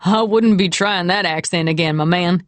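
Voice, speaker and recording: A woman's voice: on the low side, expressive, a little slow, dry recording. A woman voicing a boisterous young man, a teasing buddy, in an audiobook.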